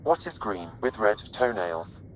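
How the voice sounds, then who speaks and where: Very low, deadpan ship AI. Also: female voice, a little hiss or hum, poor audio. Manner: measured, deadpan. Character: calm, literal-minded artificial intelligence, shipboard companion.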